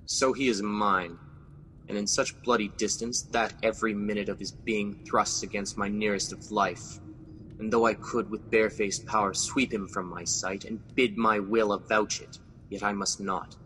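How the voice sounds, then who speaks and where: A man as a brooding guilty king, low voice, bright forceful tone, reverberant radio play. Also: fairly even intonation, moderate pace, a little hiss or hum, lightly acted. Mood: commanding. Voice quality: brooding, intense. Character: Macbeth, a guilt-ridden scheming Scottish king. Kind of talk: classic radio drama acting.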